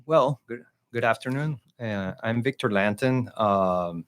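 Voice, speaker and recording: A man's voice: mid-range voice, expressive, measured pace, a bit bright. A middle-aged man from Venezuela with a Venezuelan Spanish accent, a native Spanish speaker, talking hesitantly with many fillers as an interview guest. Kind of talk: interview conversation.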